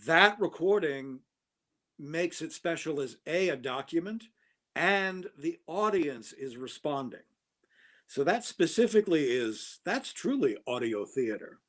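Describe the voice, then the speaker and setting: A man with a voice a little higher than most men's talks at length on a podcast. He is opinionated and easygoing, holding forth on a subject he cares about. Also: expressive, measured pace, fairly bright tone. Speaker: podcast guest, audio drama producer. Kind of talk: casual podcast conversation.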